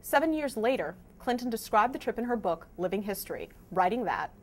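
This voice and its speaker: A woman's voice: a little high, expressive, brisk. A woman hosting a scripted news explainer, presenting to viewers in an emphatic, wry way. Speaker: host of a fact-checking news vidcast.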